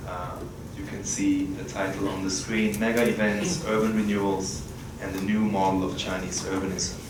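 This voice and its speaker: A man chairing a conference session, introducing a keynote speaker in a polite, halting way. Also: low voice, flat delivery. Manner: polite, halting with many pauses. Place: conference room. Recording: echoey room, distant mic, loud background noise.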